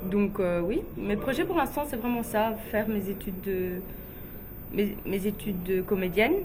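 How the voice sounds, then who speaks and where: A young woman from Guinea telling personal stories in a relaxed, chatty way, with frequent fillers and light laughs. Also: mid-range voice, moderate pace, noisy background. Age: young adult. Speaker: interviewee, beauty pageant runner-up.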